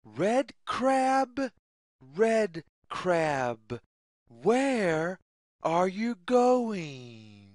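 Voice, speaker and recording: A woman reading a picture book aloud for young children in a deliberate, sing-song way, in short separated phrases. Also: mid-range voice, very animated, a little mellow, dry recording, clean studio sound. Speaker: children's story reader.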